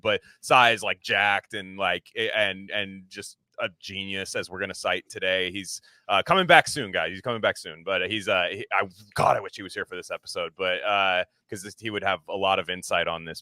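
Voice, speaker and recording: A man's voice: deep voice, steady tone, moderate pace, few pauses, bright, forceful tone, dry recording. A man hosting a podcast, talking casually in an informal, meandering way. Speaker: podcast host.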